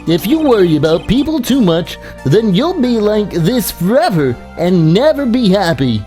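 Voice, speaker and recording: Casting: female actor as blustering, awkward, upbeat game figure, dark timbre, very animated, music underneath. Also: mid-range voice, moderate pace, natural voice. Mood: flustered.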